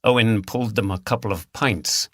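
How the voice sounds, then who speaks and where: Bright, dry-sounding male audiobook narrator. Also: on the low side, moderate pace, no echo. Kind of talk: scripted mystery narration.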